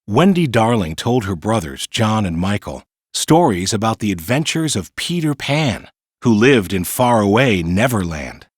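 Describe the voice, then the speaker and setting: A man reading a children's storybook in an expressive, clearly paced storytelling manner. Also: lowish voice, no room sound, studio quality. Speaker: narrator. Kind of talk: scripted children's storybook narration.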